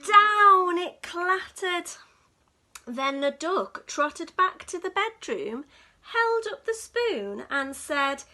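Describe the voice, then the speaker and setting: A woman reading a picture book aloud to young children in an expressive, dramatic storytelling manner. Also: very high-pitched, very slow. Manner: dramatic, with hushed whispers and gasps.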